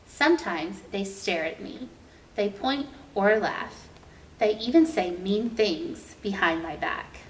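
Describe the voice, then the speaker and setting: A young woman reads a picture book to small children in an expressive storytime style. She takes her time with each phrase. The recording is roomy, picked up at a distance from the mic, with faint noise. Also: mid-range voice, slow. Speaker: library storytime host reading aloud. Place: indoor room with painted mural.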